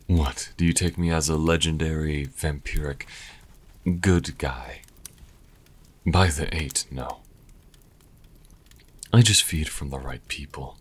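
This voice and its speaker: A man's voice: rumbling low, breathy edge, full character voice. A man playing a sassy vampire and reluctant caretaker in an audio roleplay, speaking dryly and sardonically to the listener. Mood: wry, matter-of-fact. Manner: dry, sardonic, unhurried. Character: sassy male vampire, former thrall, grudging caretaker.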